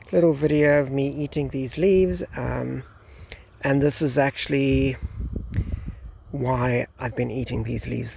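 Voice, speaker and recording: Slightly hoarse, creaky South African man. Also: medium pitch, darkish tone. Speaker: gardening video host, nursery gardener. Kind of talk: informal vlog talk to camera. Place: outdoors in a breezy garden nursery. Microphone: phone at arm's length. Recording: outdoor phone recording with faint background noise.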